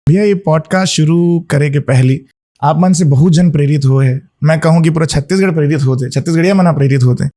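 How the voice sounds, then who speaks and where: A man's voice: a little high, brisk. A man, hosting a podcast interview and asking his guest questions in an effusive, admiring way. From Rajasthan, India. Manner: effusive, flattering introductions. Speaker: podcast host.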